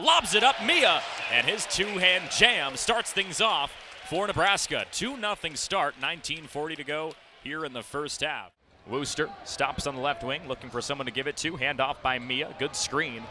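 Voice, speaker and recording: A young man with a slightly high voice calls basketball as a radio play-by-play sportscaster. He speaks in clipped phrases and punches the big plays. Clear arena noise sits behind him. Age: young adult. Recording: noisy live arena broadcast. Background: arena noise, bouncing ball.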